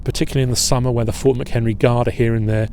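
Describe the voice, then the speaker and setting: A middle-aged man leading an outdoor history tour at a waterfront fort. He talks in a relaxed, halting way with many filler pauses. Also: mid-range voice, a little hiss or hum. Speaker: historian and battlefield guide.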